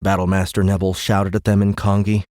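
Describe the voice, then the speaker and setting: A man's voice: low voice, monotone, brisk, darkish tone, no echo, clean studio sound. A man reading a science fiction audiobook in scripted dramatic narration.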